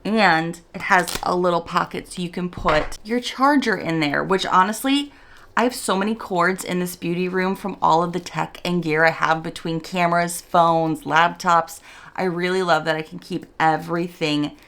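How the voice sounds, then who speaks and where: A woman around forty with a raspy morning voice, chatting in a rambling, casual way as she reviews a beauty product at home. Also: fairly low pitch, moderate pace, a bit bright. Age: 40s. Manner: rambling, chatty, self-interrupting. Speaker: beauty vlogger.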